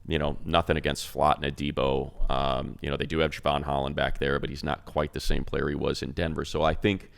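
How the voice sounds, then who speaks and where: A man's voice: a bass voice, little pitch movement, brisk, creaky, smooth. A man with an American accent giving casual football analysis as a co-host on a sports podcast.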